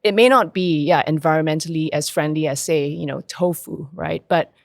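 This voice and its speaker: A woman's voice: low voice, moderate pace, gravelly, no echo, clean studio sound. Thoughtful woman owner from Singapore. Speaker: restaurant owner. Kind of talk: casual podcast conversation.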